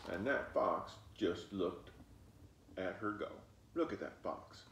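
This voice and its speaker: A man doing an expressive storybook read-aloud for young children, pausing to point things out. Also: sits low, a little hiss or hum. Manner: animated, pauses to point things out. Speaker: storybook reader. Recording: roomy echo, distant mic.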